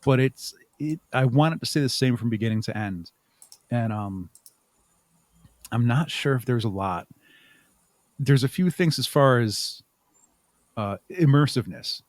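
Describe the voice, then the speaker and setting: A man answering interview questions conversationally as a podcast guest, thinking aloud in a halting way with repeated words. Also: mid-range voice, expressive, no room sound. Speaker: podcast guest, audio drama writer and producer.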